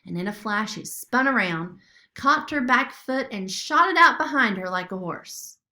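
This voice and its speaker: A woman's voice: a little high, expressive, measured pace, sharp tone. A woman narrating a children's story expressively, as a storybook reader.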